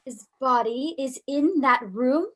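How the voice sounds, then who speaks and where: High-voiced, spirited young fiancée played by a woman for an old-time radio play. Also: takes it slowly, heavily acted. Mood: eager. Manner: eager, lively, teasing. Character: young bride-to-be daughter, curious, spirited.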